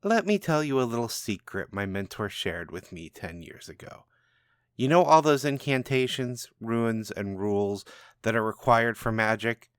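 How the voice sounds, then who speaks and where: A man's voice: on the low side, animated, moderate pace, clean tone, dry recording, studio quality, lightly acted. A man reading a storybook tale as a cocky, irreverent and powerful rogue wizard, brash and conspiratorial. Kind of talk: first-person storybook narration.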